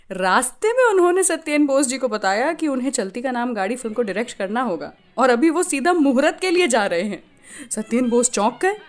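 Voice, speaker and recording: An Indian woman hosting a radio show, telling scripted stories in a chatty way straight to the listener and mixing in English phrases. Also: high-pitched. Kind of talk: scripted radio storytelling and song introductions.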